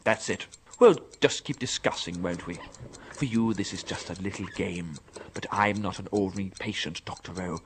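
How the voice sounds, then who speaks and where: Male actor as confined academic: deep-toned, defensive, articulate, aggrieved. Audio drama, slight noise bed. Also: moderate pace. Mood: bitter, agitated. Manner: insistent, bitter, articulate. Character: agitated, defensive male professor confined to an asylum. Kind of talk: radio drama acting.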